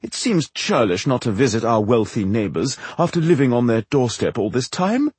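Guy doing a posh showy stage actor, super pompous, swoopy and a bit husky, fantasy audiobook. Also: mid-range voice, sing-song, moderate pace, a little mellow, dry recording. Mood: mock regret. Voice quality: exaggeratedly aristocratic delivery. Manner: grandiloquent, wordy, showy. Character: pompous, theatrical aristocratic male actor, flamboyant showman.

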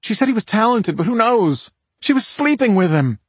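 A man narrator plays an overwrought grieving sister in a crime audiobook. The woman sounds defensive and emotional, with pitch lifted well up, recorded dry. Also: sing-song, moderate pace, husky, no echo, heavily acted. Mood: bitter.

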